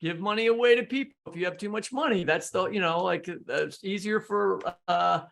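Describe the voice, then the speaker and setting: Guy hosting a politics podcast, lots of vocal fry, voice a bit high, casual and kinda hesitant. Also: a little fast, fairly bright tone. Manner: informal, hesitant, thinking aloud. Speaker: podcast host.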